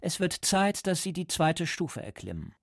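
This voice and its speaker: An actor playing a calm, cold party interrogator in a radio drama, speaking in a measured, lecturing way. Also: male voice, sits high, gravelly, slight background noise, exaggerated voice. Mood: patient, instructive. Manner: measured, didactic.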